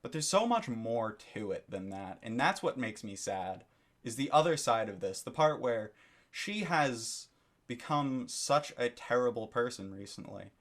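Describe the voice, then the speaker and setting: A man's voice: mid-range voice, lively intonation, a little slow, fairly bright tone. A man giving a critical commentary monologue for his video channel, lecturing and disapproving, often repeating his phrases. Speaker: YouTube commentator.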